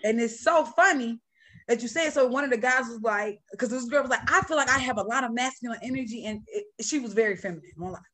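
A woman from Chicago, with the accent to match, talks with a gritty, raspy edge. Her voice sits a bit high, and she speaks with firm authority, laughing often in a loose, friendly chat. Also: fast talker, a bit bright. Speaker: podcast co-host. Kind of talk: casual spiritual chat.

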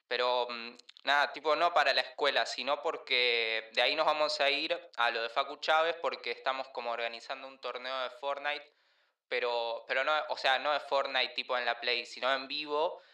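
An Argentine actor playing an awkward, evasive teenage son who rambles hesitantly in slang in an audio drama. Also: male voice, medium pitch, moderate pace, bright, forceful tone, lightly acted. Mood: awkward, wheedling. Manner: hesitant, rambling, full of fillers. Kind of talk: naturalistic audio drama acting.

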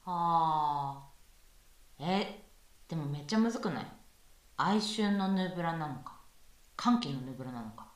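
A woman's voice: deep voice, animated, a little slow, long pauses. A woman playing a playful, pushy young female university student in a conversational radio drama. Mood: musing, teasing. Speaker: voice actress in amateur radio drama. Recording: echoey room, far from mic.

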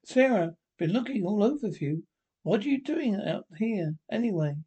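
A husky-voiced reader playing a worried husband who speaks in a plain, reassuring way. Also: mid-range voice, lively intonation, moderate pace, warm tone. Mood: concerned. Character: worried husband searching for his wife.

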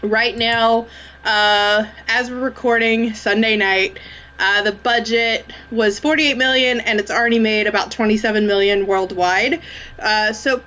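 A woman's voice: fairly high pitch, fairly even intonation, unhurried, pushed and bright, lo-fi sound. A woman co-hosting a movie podcast, stuffed up from a sinus infection, chatting casually with lots of fillers and laughs. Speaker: podcast co-host. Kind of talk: casual chat.

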